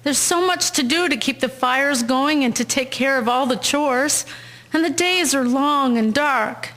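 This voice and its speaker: A woman's voice: sits high, a little slow, fairly bright tone. A woman acting an earnest, emotional young wife and mother in a historical audio drama. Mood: pleading. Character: anxious, pleading young wife and devoted mother, 1910s.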